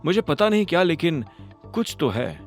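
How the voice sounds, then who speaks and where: A male narrator voicing a calm, determined young hero in a storybook audio drama. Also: a little high, normal speed, faint music behind, voice pushed up.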